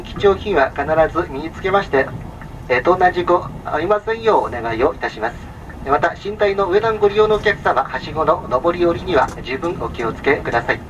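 A man's voice: on the high side, animated, far from the mic. A man working as a train conductor, making a formal, polite announcement over the public address speaker of a sleeper train. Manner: formal, polite, measured listing with small filler sounds. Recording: muffled train PA from afar, vehicle noise.

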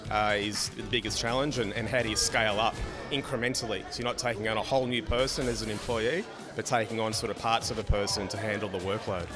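A man in his forties speaks into a handheld mic. His voice is fairly low, and he talks thoughtfully, restarting and filling with uhs. Music plays clearly behind him. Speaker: small business owner. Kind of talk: vox pop interview answer. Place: indoor function room. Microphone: handheld interviewer mic. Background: background music.

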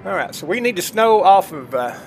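A man's voice: high voice, sing-song, fairly quick, faint music behind. A middle-aged man giving casual, folksy gameplay commentary, chatting informally to his viewers. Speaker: gaming streamer. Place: indoors at a computer desk, webcam.

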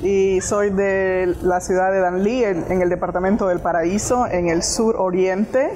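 A woman's voice: medium pitch, steady tone, unhurried, a crowd in the background. A middle-aged woman from Honduras with a Honduran accent, answering as an interview guest on a lapel mic. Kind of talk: conversational interview. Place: indoor studio set in a market hall. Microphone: lapel microphone.

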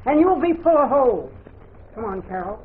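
A woman's voice: on the high side, very animated, a little fast. An actress playing a tough, protective older frontier woman in a 1940s radio drama, blunt, folksy and commanding. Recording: narrow-band, hissy old radio recording.

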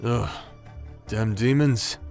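A man's voice: medium pitch, takes it slowly, creaky, a little mellow, faint music behind. A man playing a cocky, crude young knight paladin in a fantasy audio drama, with a casual, sarcastic, irreverent delivery. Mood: bemused, cheeky. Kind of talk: scripted fantasy audio roleplay.

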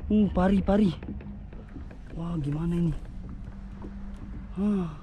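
A young man fishing from a kayak at sea, exclaiming and groaning excitedly as he talks to his action camera. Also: high-pitched, takes it slowly. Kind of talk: casual first-person fishing vlog. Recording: outdoor wind and water noise.

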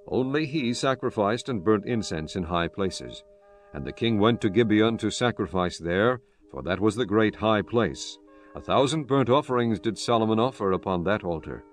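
Low-voiced solemn male scripture narrator. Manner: solemn, formal storytelling. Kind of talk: scripted dramatized Bible narration. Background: soft background music.

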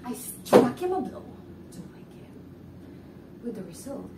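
A young woman playing a cool, confident woman with a criminal secret on stage, speaking deliberately and emphatically. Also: fairly high pitch, sing-song. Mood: dramatic recounting. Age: young adult. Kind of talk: theatrical stage acting. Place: indoor living-room stage set. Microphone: far camera. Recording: echoey room, distant mic, background noise.

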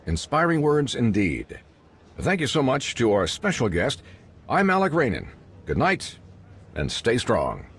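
An actor playing a polished broadcast interviewer in a sci-fi game, with a presenterly delivery. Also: male voice, medium pitch, huge pitch swings, a little slow, a bit bright, slight background noise. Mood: polished, upbeat. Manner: smooth-talking, presenterly. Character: polished male holonews interviewer signing off a broadcast. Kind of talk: scripted game news broadcast.

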